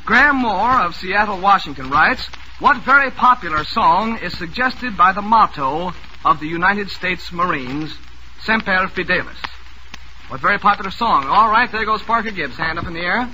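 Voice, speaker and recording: A man's voice: high-pitched, expressive, moderate pace, pushed and bright. A man hosting a 1940s radio quiz show, with genial, joking announcer patter. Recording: noisy old radio broadcast recording.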